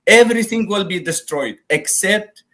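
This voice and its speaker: A man's voice: high voice, animated, bright, forceful tone. Arabic-native man debating in broken English. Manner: broken English, explains slowly with examples. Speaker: debate guest. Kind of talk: live online debate.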